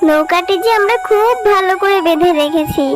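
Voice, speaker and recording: Very high, sweet little fox cub, a woman acting. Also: fairly quick, over music. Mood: concerned. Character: sweet, reassuring young girl fox cub. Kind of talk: children's cartoon dubbing.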